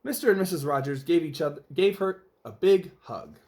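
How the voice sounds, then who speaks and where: A man's voice: on the high side, expressive, normal speed, darkish tone. A man narrating a children's story aloud in an emphatic, theatrical way. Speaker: storybook reader.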